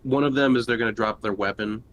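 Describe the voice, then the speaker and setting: A man's voice: medium pitch, quick pace, fairly bright tone. A man chatting casually as a tabletop game player, hesitantly thinking aloud as he decides. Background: background music.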